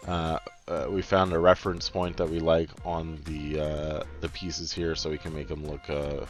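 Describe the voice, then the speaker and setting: A man giving casual, halting commentary over footage of a building game, with frequent filler pauses. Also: a bass voice. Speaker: video creator narrating gameplay.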